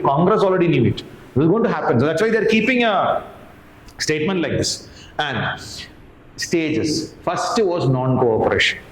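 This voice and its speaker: Indian guy teaching exam prep, emphatic, bit high voice, asks and answers his own questions, roomy echo. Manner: repeats words and phrases. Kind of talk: explanatory lecture to camera. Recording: echoey room with background noise.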